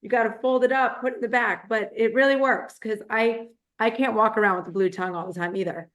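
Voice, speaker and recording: A woman who has a cold and is losing her voice, congratulating a team member warmly. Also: fairly high pitch, steady tone, fast. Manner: warm, congratulatory.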